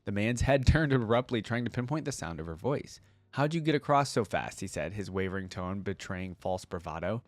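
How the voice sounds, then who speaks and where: Low voiced guy doing a lore reaction, reads out loud then chats casually, dry close sound. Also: dry recording. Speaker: reaction video host. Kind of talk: reading a story aloud with casual reaction asides.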